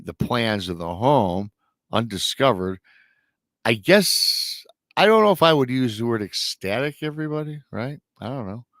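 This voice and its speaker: A man's voice: mid-range voice, fairly bright tone, dry recording. A middle-aged man hosting a live show, retelling a strange encounter story in a chatty way, sounding nasal and a little scratchy from a lingering illness. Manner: chatty asides to viewers. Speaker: paranormal show host.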